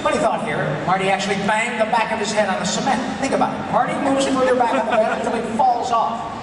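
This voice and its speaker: A man's voice: very high voice, fairly even intonation, quick pace. A man voicing a laid-back, jokey young cartoon turtle who narrates a script at a live comedy table read. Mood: playful, narrating. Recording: echoey convention hall, distant, noisy crowd.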